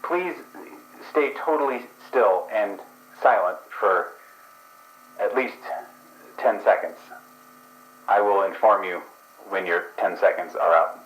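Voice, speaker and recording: A man's voice: on the low side, slow pace, pauses often, echoey room, low-quality recording. A man voicing a hesitant, procedural dream investigator who stumbles over his words in an actual play podcast. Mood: formal.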